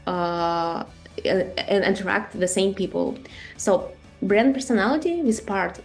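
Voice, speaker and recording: A woman from Russia with a Russian accent, giving an online marketing master class in a conversational way, with many fillers and rhetorical questions. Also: medium pitch. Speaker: marketing and branding instructor.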